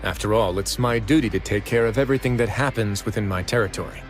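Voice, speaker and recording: An actor playing a calm, composed male prison warden in a game, speaking in a low, measured voice. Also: faint music behind, lightly acted. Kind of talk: game voice acting.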